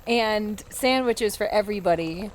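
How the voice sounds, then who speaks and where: A woman's voice: medium pitch, unhurried, rough recording. A woman chatting casually and playfully as a player in a tabletop roleplaying game. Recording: faint background noise.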